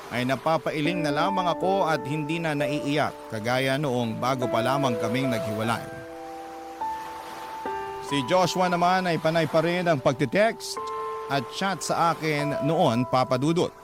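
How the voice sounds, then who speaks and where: A man reading a listener's letter dramatically as a radio storyteller. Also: fairly high pitch. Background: loud music.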